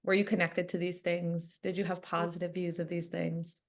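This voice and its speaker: Gravelly-voiced woman hosting a podcast. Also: lowish voice, little pitch movement, moderate pace. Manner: earnest, encouraging, asks long questions. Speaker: podcast host, coach.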